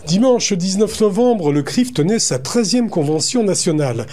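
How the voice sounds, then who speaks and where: A man hosting a radio interview, putting measured, partly read questions to his guest. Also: high-pitched, animated, a little mellow. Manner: measured, reading prepared lines. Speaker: radio host.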